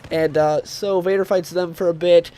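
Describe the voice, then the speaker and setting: A man's voice: sits high, fairly even intonation, normal speed, warm tone. A young man with a cold talks with a stuffed-up nasal voice, rambling casually through a comic book review with many fillers and restarts.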